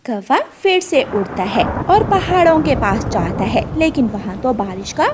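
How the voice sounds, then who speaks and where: A woman's voice: a little high, expressive, moderate pace, noisy background. A woman narrating a scripted children's story.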